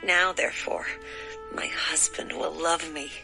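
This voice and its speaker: A woman's voice: deep voice, fairly even intonation, measured pace, creaky, pushed and bright, faint music behind, full character voice, low-quality recording. A woman playing a sorrowful but hopeful unloved young wife in a dramatized scripture production. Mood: tearful hope.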